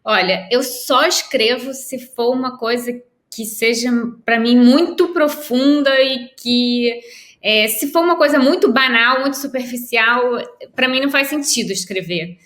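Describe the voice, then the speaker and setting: Brazilian woman, writer, fairly high voice, reflective and measured, roomy video-call sound. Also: fairly bright tone. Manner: thoughtful, measured, with many pauses and restarts. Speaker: author, guest. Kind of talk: reflective interview answers. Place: at home in Lisbon, by a window. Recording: echoey room on a video call.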